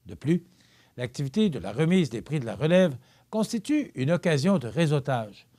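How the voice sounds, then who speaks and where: Québécois male news anchor, mid-pitched voice, steady measured read, small slips. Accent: Québécois French. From Quebec.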